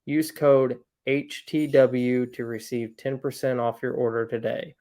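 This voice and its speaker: A man's voice: medium pitch, level tone, unhurried, warm tone. Man reading an upbeat sponsor ad. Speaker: ad reader. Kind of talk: read sponsor ad.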